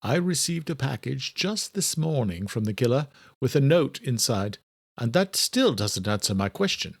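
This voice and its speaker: A man voicing an elderly scholarly doctor in an audiobook, courteous and direct, with formal, deliberate, old-fashioned phrasing. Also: medium pitch, very animated, vocal fry, no room sound, clean studio sound. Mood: troubled, direct. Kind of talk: audiobook character dialogue.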